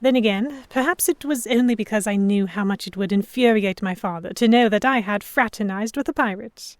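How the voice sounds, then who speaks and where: A woman's voice: medium pitch, a little fast, dry recording, studio quality, natural voice. A woman playing a defiant, sheltered young lady held by pirates, narrating an audio drama in first person with articulate, literary phrasing. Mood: reflective. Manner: articulate, literary, reflective storytelling.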